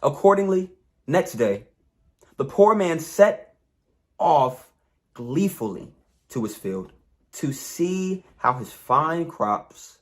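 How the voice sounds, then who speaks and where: A man tells a story in an expressive read-aloud style. His voice sits a little high and moves melodically, with slow, measured phrases and deliberate pauses. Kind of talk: expressive scripted story reading.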